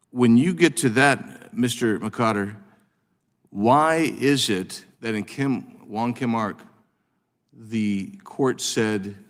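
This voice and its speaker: A congressman questioning witnesses at a committee hearing, talking in halting bursts with many restarts and fillers. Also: lowish voice. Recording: long reverb of a hearing room.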